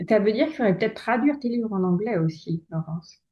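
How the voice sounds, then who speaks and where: A woman's voice: medium pitch, expressive, fairly quick, lo-fi sound. A woman lawyer and author, a guest in an interview, talking in a hesitant, restarting way full of fillers. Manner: hesitant, many restarts and fillers, laughs often. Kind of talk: casual interview conversation.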